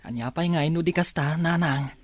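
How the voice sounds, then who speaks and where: A man's voice: on the high side, brisk, dry recording, lightly acted. A man playing a dutiful young student son talking with his mother in a Philippine radio drama. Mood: explaining. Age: young adult.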